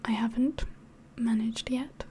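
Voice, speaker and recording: A woman with a slightly mixed English accent whispers softly in a rambling, hesitant way, as if hosting an ASMR livestream chat. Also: a little high, fairly even intonation, slow pace, a little hiss or hum. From England. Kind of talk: soft-spoken ASMR chat, mostly whispered.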